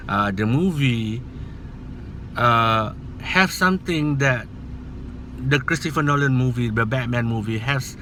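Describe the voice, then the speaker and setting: A man's voice: medium pitch, pushed and bright, a little hiss or hum. A man with a Malaysian accent, whose first language is Malay, giving his opinion in a casual movie vlog in an earnest, rambling, hesitant way. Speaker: movie vlogger.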